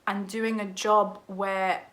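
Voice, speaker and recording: Croaky-voiced young woman vlogger. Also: medium pitch, level tone, slow, fairly bright tone. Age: 20s. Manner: chatty and candid, many ums and likes. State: croaky from a cold. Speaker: junior doctor vlogger. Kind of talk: casual vlog talk to camera.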